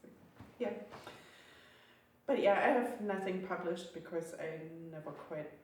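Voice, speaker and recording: A woman's voice: fairly low pitch, a little slow, a little mellow. A woman writer introducing herself casually at a workshop, in a self-deprecating, giggly way. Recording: echoey room, distant mic, faint noise.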